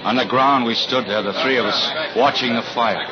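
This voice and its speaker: An actor playing a troubled, desperate fire inspector and husband, narrating and speaking with brooding intensity in a 1940s radio thriller. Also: male voice, medium pitch, normal speed, close to an everyday voice. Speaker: actor and first-person narrator. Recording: muffled old radio recording with music.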